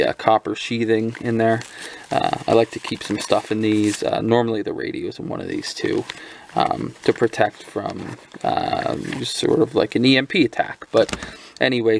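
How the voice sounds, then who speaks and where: Low gravelly guy rambling thru a gadget review, lots of ums. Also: a little hiss or hum. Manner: rambling, many fillers and restarts. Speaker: radio gear reviewer. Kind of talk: casual product review.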